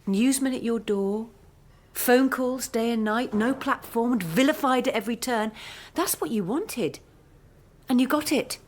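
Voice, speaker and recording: Young student, radio drama. Slightly high voice, sardonic, accusing, unyielding. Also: female voice, unhurried. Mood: challenging. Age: young adult. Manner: pointed, sardonic. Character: defiant, accusing female postgraduate student. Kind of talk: naturalistic radio drama acting.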